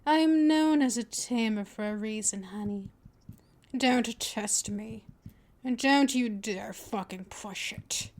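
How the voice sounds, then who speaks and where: A dominant vampire mistress, acted by a woman, speaks in a fairly high voice at a very slow pace. She is patient and possessive, teasing and coaxing her captive in an intimate ASMR roleplay style. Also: a little mellow. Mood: menacing. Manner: slow, deliberate, coaxing, with drawn-out pet names.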